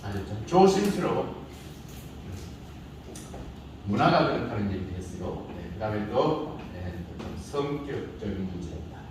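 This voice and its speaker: A man's voice: lowish voice, big pitch swings, moderate pace, long pauses, background noise. A middle-aged man teaching a speech class in a classroom, lecturing casually and teasing the students with rhetorical questions. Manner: informal banter with students. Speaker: speech instructor. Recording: echoey room from far off.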